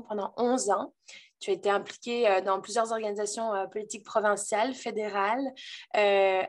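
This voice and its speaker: A woman hosting a virtual interview, reading a prepared introduction with many hesitations. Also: on the high side. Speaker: interview host.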